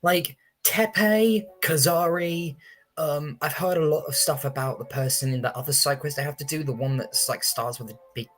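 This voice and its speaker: A man giving casual, expressive live reactions as a game streamer, in informal speech. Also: fairly high pitch, normal speed. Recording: makeshift livestream audio. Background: faint game music.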